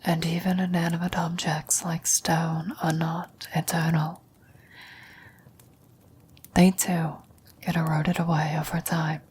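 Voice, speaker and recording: Woman doing a frail old lady on her deathbed, asmr whisper, really slow n halting, low flat voice, wistful, philosophical. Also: a little hiss or hum. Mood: calm, philosophical. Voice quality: breathy whisper. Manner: slow, halting, reflective delivery with long pauses. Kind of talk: whispered ASMR roleplay monologue.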